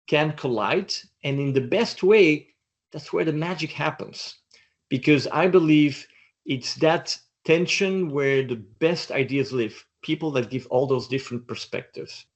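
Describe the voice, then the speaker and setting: A man's voice: fairly high pitch, unhurried. Reflective middle-aged man from Belgium. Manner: reflective, thoughtful, with restarts. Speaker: interview guest, doctoral student. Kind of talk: casual interview chat.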